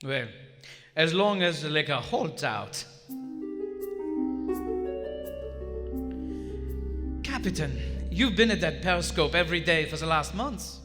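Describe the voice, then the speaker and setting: An actor playing a nervous, guilt-ridden German submarine lieutenant who is coming unraveled, performed with anguished fervor in live radio theater. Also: male voice, on the high side, animated, normal speed, over loud music, close to an everyday voice. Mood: bitter, drunk. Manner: anguished, fervent, dramatic. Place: on stage in a large theater. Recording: echoey theater hall, live stage recording.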